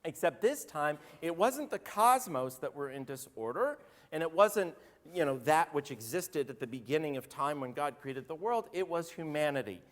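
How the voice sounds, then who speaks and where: A man, a rabbi with a slightly hoarse voice, giving a chatty, joking sermon and calling on congregants by name. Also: on the high side, expressive, moderate pace. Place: synagogue sanctuary. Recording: roomy hall reverb.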